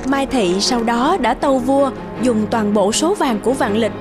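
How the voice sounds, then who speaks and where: High-voiced woman telling a folk tale. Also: unhurried, music in the background. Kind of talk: scripted animated folk-tale narration and dialogue.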